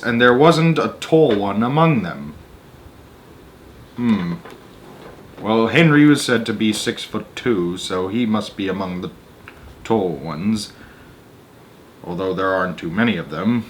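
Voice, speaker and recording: A man's voice: fairly low pitch, lively intonation, a little slow, long pauses, a bit bright, poor audio. A man reading a children's story aloud as narrator, stepping out for casual, conversational comments on the text. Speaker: narrator and host of a story-time show.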